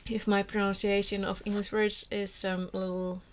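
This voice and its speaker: A woman's voice: medium pitch, little pitch movement, moderate pace, a little hiss or hum. A woman from Iceland, speaking English as a second language with an Icelandic accent, chatting to camera in a rambling way that wanders off on tangents. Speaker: book vlogger. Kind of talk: casual monologue to camera.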